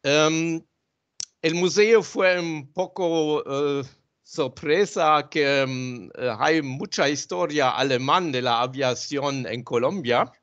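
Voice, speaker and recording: German-accented man, hesitant, slow delivery, muffled video-call audio. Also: a little high, a bit bright. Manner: halting, many ums. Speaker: guest speaker.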